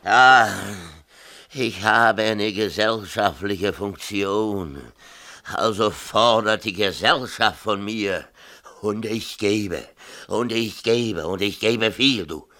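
An actor playing a defensive, self-pitying middle-aged small-town mayor and father in a radio play, talking in a halting, colloquial way and repeating himself. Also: on the low side, pushed and bright. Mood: bitter, agitated. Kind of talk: 1970s German radio play acting.

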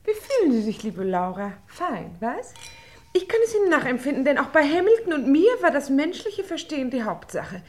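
An affected society lady in a radio crime play. Her high voice swoops up and down, effusive and thoroughly theatrical. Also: big pitch swings, moderate pace. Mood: gushing. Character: gushing, affected society lady engaged to a lord.

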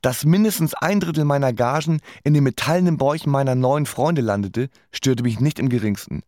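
A man's voice: medium pitch, brisk, warm tone, dry recording, studio quality, natural voice. A man playing a wry, resigned musician who narrates his life laconically in a radio play.

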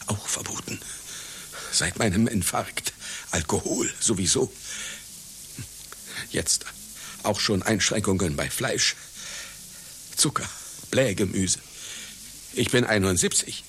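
A man's voice: low voice, fairly bright tone, a little hiss or hum, heavily acted. An actor in a radio satire playing a vain, melancholy, ailing clown in his seventies, speaking in pompous, plaintive pronouncements. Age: 70s. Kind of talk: satirical radio play acting.

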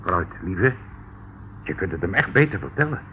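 An actor playing a meek, anxious, henpecked hotel keeper in a radio play, fussy and placating. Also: male voice, sits low, very animated, normal speed. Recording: narrow, tinny old radio recording, faint noise.

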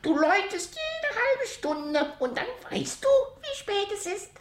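A woman's voice: very high voice, sing-song, a little slow, echoey room, heavily acted. A woman playing a bossy, knowing parrot who lectures insistently in a children's radio play. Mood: bossy, instructive. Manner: instructive, insistent. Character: bossy, knowing female parrot, seasoned seafarer.

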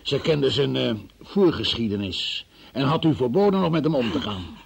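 An actor playing a relentless, methodical and sceptical male interrogator in a radio play, with a gravelly, husky voice. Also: medium pitch, big pitch swings, moderate pace, heavily acted. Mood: pressing, accusing. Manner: insistent, probing, methodical, sceptical. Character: relentless male interrogator questioning a young murder witness. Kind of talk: Dutch radio play acting.

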